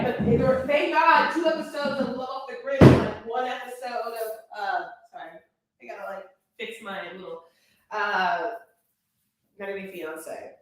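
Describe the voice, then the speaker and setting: A woman hosting a casual live stream about reality TV, chatting in a rambling way with many fillers and restarts. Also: fairly high pitch. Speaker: reality TV recap livestream host. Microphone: webcam or phone on a live stream. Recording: echoey room.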